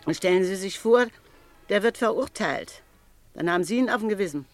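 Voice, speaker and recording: Radio drama role: actress as a nosy, insinuating female news vendor with an average-pitched voice. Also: animated, brisk, soft background music, close to an everyday voice. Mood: knowing unease. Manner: chatty, knowing, insinuating. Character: gossipy female newspaper seller, uneasy busybody. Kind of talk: German radio drama acting.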